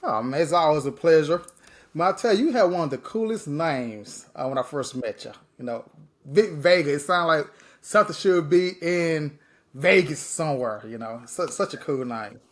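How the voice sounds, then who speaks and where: A man from Mississippi, hosting a podcast interview in a lively, encouraging, conversational way. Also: fairly high pitch, normal speed. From Mississippi, USA. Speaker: podcast host.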